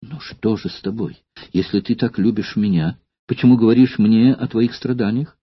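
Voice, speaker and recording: A man's voice: fairly low pitch, normal speed, breathy edge, dry recording, dull audio. A man reading an audiobook and putting on a raised voice for a frightened, passionate young noblewoman who questions her lover urgently, close to sobbing. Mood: frightened pleading.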